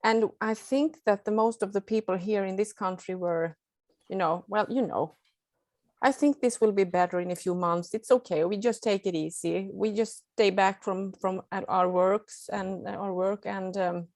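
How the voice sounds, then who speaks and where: A woman's voice: medium pitch, steady tone, normal speed, darkish tone, no echo. A woman with a Swedish accent, a nurse and non-native English speaker, answering interview questions hesitantly while searching for words. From Sweden. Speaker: nurse guest.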